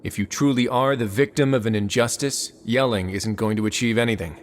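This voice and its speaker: A man playing a calm, measured warden. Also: medium pitch, slight background noise, natural voice. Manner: measured, composed, dry wit. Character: calm authoritative male warden. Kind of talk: video game voice acting.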